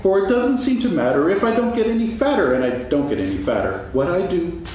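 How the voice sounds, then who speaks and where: A man playing a gentle, absent-minded, food-loving toy bear in a staged children's story reading, musing aloud in a singsong way. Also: high voice, sing-song, a little fast. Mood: dreamy musing. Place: stage, actors seated, live audience recording. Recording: echoey hall from far off, faint noise.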